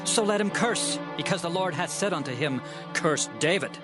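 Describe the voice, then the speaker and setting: A man's voice: fairly high pitch, lively intonation, normal speed, close to an everyday voice. A man playing a devout, sorrowful but resolute king, declaiming fervently in a dramatized scripture reading. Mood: anguished. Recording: loud music behind the voice. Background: music.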